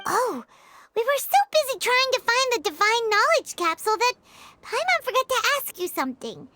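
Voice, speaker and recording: A woman voicing a tiny, excitable, childlike fairy companion girl in a video game, squeaky and full of exclamations. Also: normal speed, heavily acted. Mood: curious. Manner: exclamatory, speaks of self in third person. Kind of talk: video game character acting.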